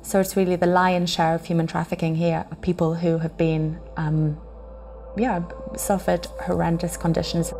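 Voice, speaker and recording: A woman in her thirties with a gravelly, mellow, rather flat voice reels off facts and numbers. She speaks in a studio interview with faint music behind. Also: lowish voice, normal speed. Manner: factual, citing figures. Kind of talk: documentary interview.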